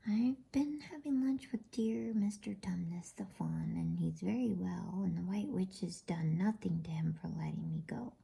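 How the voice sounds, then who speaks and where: A woman reading a storybook voices an earnest, trusting young girl in a breathy whisper. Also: lowish voice, measured pace, few pauses, warm tone, exaggerated voice. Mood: happy, excited. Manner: eager, earnest.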